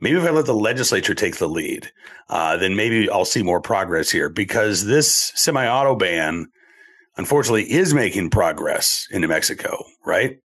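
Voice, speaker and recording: A male talk show host who sounds scratchy and stuffed up from allergies, talking in animated bursts with many fillers. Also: deep voice, normal speed, slightly rough. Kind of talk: conversational political talk show.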